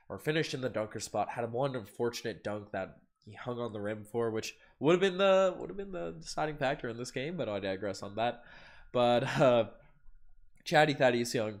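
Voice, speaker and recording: Guy with a blocked-up nose doing a live sports reaction, rambly, keeps restarting, gets loud then quiet. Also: medium pitch. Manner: rambling fan talk with many restarts, swings loud and soft. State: congested with a cold. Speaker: sports podcast host. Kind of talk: casual post-game reaction livestream.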